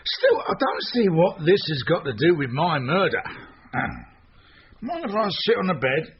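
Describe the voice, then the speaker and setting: A slovenly, scornful British police inspector in a radio detective play. His fairly high voice swings widely as he blusters and mocks, on a dull recording with faint hiss. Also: wildly swooping pitch, a little slow, vocal fry, exaggerated voice. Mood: dry, sardonic. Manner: sardonic, blustering, dismissive. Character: gruff, sarcastic, slovenly male police chief inspector. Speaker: actor in radio drama.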